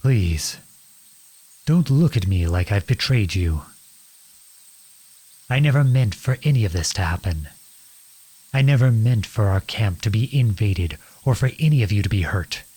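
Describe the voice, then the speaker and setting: A man playing a noble runaway outlaw prince in a dramatic audio roleplay, earnest and imploring, with a husky voice. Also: lowish voice, lively intonation, unhurried, long pauses, warm tone. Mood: confessional, remorseful. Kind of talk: dramatic ASMR roleplay acting.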